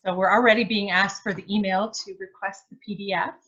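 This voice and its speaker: A woman's voice: mid-range voice, fairly even intonation, fairly quick, a bit bright, husky, muffled recording. A woman hosting a live virtual product launch over a video call, upbeat and full of praise for her guest. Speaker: host.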